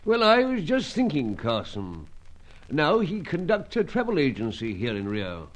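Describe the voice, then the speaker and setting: A genial, skeptical older British spymaster in a vintage radio serial. His manner is measured and wry, full of gentlemanly hesitations, and his intonation rises and falls in a lively way. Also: fairly high pitch. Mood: wry, probing. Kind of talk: old-time radio drama acting.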